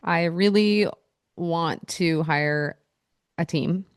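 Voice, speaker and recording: A woman's voice: on the low side, drawn-out words, clean tone, no room sound, studio quality. A woman co-hosting a podcast, chatting casually about her business, teasing and laughing easily. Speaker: podcast co-host, photography business owner.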